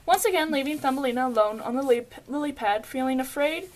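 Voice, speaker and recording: High-pitched young woman narrating a fairy tale. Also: quick pace. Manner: stumbles over words and giggles. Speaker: puppet show narrator. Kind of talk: scripted storybook narration.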